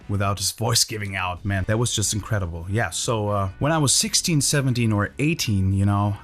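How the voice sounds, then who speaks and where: A man in his thirties from Germany, with a German accent and German as his first language, casually telling his own story to camera with loose, filler-heavy talk. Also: lowish voice. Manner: relaxed, loose, lots of "you know" and "uh" fillers. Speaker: Elvis tribute singer and channel host.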